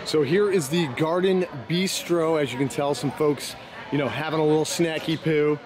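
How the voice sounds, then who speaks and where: A man's voice: fairly high pitch, normal speed, a crowd in the background. A man with a Maine accent, hosting a casual vlog outdoors and chatting loosely with many fillers and restarts. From Maine, USA. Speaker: vlog host. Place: outdoors in a town.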